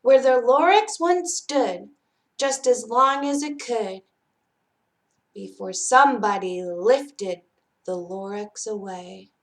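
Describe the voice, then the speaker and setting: Slow, higher-voiced woman reading a storybook. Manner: expressive, measured storytelling. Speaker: library storyteller reading aloud. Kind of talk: children's picture book read-aloud.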